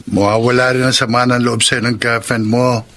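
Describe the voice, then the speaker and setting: A man playing a calm, loyal young friend in a Filipino radio drama, inquisitive and reassuring. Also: mid-range voice, moderate pace, natural voice. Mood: calm, concerned. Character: young male confidant Kenny, calm, loyal advising friend. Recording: faint background noise.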